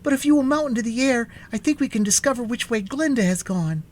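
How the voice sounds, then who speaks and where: A man's voice: very high voice, expressive, quick pace, voice pushed up. A man voicing an eager, nervous young boy adventurer with an urgent manner in a storybook. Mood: nervous, hurried.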